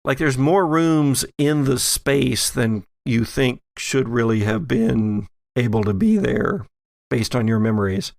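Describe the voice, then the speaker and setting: A man narrating a tabletop horror game as game master, in a measured, halting storytelling manner. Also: mid-range voice, lively intonation, vocal fry, no room sound, studio quality.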